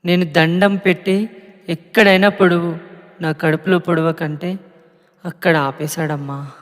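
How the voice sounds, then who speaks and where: The spirit of a murdered young woman, voiced by a man, mourning and pleading with her family. The voice sits fairly high and the words come very slowly. A long reverb trails behind every phrase. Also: fairly even intonation, close to an everyday voice. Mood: anguished, pleading. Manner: pleading, sorrowful address to family. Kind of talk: emotional monologue.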